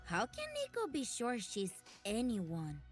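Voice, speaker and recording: A woman's voice: mid-range voice, huge pitch swings, unhurried, over loud music. A woman voicing a perky, naive young chameleon shapeshifter in a video game, with childlike broken speech in the third person. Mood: puzzled.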